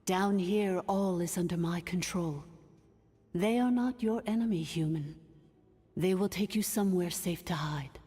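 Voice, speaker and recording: A woman voicing an ancient, authoritative female AI in a game, speaking in a measured, formal manner. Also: fairly low pitch, mellow, slightly rough. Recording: long hall reverb, game music behind.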